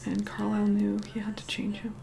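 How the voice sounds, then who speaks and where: A woman playing an aloof, reluctantly caring vampire woman in an ASMR roleplay, soft-spoken and breathy, often whispering, slow and condescending. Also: mid-range voice, completely flat delivery. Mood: wistful, tender. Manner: slow, condescending, grudgingly kind. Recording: loud music behind the voice. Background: music.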